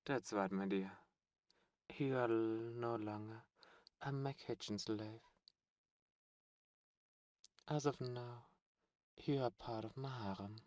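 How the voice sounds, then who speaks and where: A man's voice: mid-range voice, slow pace, pauses often, darkish tone, no room sound, studio quality, close to an everyday voice. A man playing a haughty demon prince in a romantic fantasy ASMR roleplay, speaking formally, flirtatiously and with command. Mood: seductive, possessive. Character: haughty, seductive demon prince, courtly and possessive.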